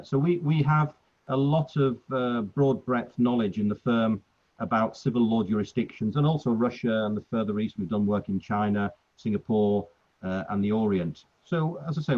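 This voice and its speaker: A middle-aged man, a lawyer giving a rambling, chatty webinar talk full of fillers and restarts. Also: lowish voice. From England. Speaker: property lawyer presenting a webinar. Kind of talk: webinar presentation. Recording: muffled online call audio.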